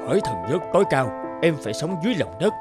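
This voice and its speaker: Guy doing a scheming underworld god for a cartoon, lively pitch swings, loud music behind. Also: quick pace. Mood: wheedling. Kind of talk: cartoon fairy tale dubbing.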